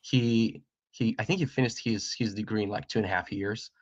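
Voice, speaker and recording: Casting: man in his forties from Greece, lowish voice, friendly guest, muffled dry call audio. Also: fairly even intonation, a little fast, dry recording. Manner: friendly, repeats words, laughs between points. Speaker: guest, startup co-founder. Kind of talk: interview conversation.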